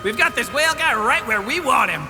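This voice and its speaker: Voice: sits high, lively intonation, fairly quick, creaky, exaggerated voice. An actor voicing a boastful, reckless young hero in a comedic cartoon parody, full of cocky confidence. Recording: loud music behind the voice.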